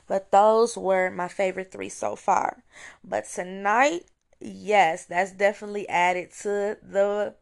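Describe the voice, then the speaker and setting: A young woman with a hoarse voice hosts her own review podcast, talking casually and enthusiastically with fillers and restarts. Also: medium pitch. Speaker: podcast host. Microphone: home recording, voice message style.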